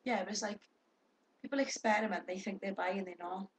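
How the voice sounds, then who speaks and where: A woman's voice: mid-range voice, brisk. A young woman chatting casually to her webcam, swearing freely and talking to viewers. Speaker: vlogger.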